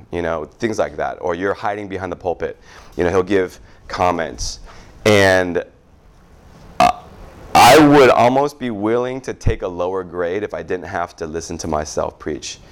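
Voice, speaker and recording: Chatty pastor with a low voice. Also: male voice. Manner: chatty, warm asides with repeated "good, good". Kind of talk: casual church prayer time.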